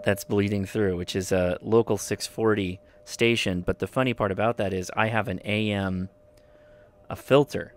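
A man's voice: fairly low pitch, fairly even intonation, normal speed, a little hiss or hum. A man giving a casual hands-on product review, informally thinking aloud as he goes. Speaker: host of a radio gear review channel. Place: indoors at a desk.

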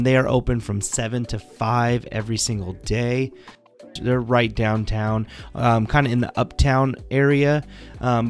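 A man in his thirties casually narrating a walking tour outdoors on a city street, friendly and relaxed with plenty of fillers, recorded on a phone held at arm's length. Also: medium pitch. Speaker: local vlogger.

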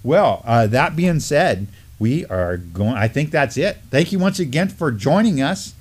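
A middle-aged man with a medium-pitched voice co-hosts a morning live stream. His delivery is conversational and loose, often halting and rambling as he chats informally at the studio desk. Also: sing-song, slightly rough. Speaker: morning show co-host. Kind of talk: casual chat on a news live stream. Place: indoor studio desk in front of a backdrop.

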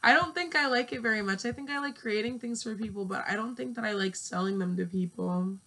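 A woman's voice: mid-range voice, brisk, bright, forceful tone. A twenty-year-old woman vlogging in her bedroom, rambling and swearing as she thinks aloud. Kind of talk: casual chat to camera. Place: small bedroom, indoors. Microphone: earphone mic, laptop webcam.

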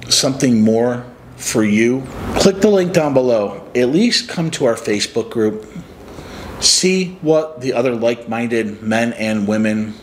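A man's voice: medium pitch, lively intonation, a little slow, a little hiss or hum. A man in his forties from upstate New York, talking earnestly to the camera as a life coach in a small room. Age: 40s. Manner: earnest, reflective, motivational. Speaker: life coach and channel host. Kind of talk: casual talk to camera. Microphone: camera close up, sitting on a couch.

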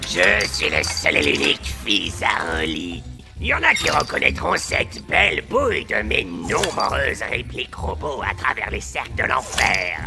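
A man voicing a brazen, crude robot jester who works as a nightclub emcee, showy and proclaiming, in a French animated fan dub. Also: medium pitch, vocal fry, full character voice. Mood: boastful. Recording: music behind the voice.

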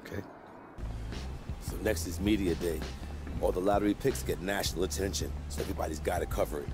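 Low-voiced, assured sports agent played by a man. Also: fairly even intonation, a little fast, music in the background, natural voice. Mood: advising. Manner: assured, advisory, plain-spoken. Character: calm, mentoring male sports agent, wry and assured. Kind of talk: naturalistic video game cutscene acting.

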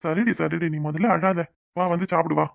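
A man's voice: fairly high pitch, a little fast, creaky, no room sound, clean studio sound. A man playing an affectionate, teasing young husband in a domestic drama, coaxing, familiar and apologetic. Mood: soothing. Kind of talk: domestic serial drama acting.

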